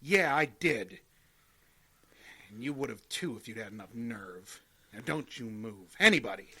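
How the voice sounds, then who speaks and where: An actor playing a defiant, murderous son who blusters indignantly in an old-time radio drama. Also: male voice, medium pitch, expressive, measured pace, pushed and bright, slightly rough, exaggerated voice. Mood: menacing. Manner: belligerent, indignant.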